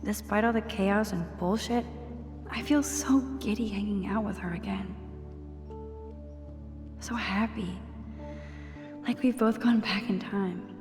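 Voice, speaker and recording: A young American woman playing an introspective, gentle teenage girl student in a video game, musing softly with wry asides. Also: medium pitch, slow pace, long pauses. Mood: quietly happy. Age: young adult. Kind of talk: video game voice acting, inner monologue. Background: background music.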